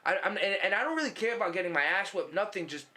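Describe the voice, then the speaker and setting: A man's voice: high-pitched, quick pace. A young man hosting a casual podcast, telling stories with lots of swearing and acted-out quotes. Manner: animated storytelling, heavy swearing, acts out quotes. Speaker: podcast host. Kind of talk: casual chat with an old friend.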